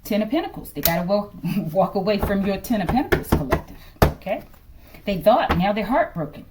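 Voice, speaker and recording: A woman giving a casual tarot reading to her viewers, musing aloud and often ending phrases low as she addresses them. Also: animated, brisk, noisy background. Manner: musing, repeatedly addresses listeners as collective, trails off low at line ends. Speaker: tarot reader.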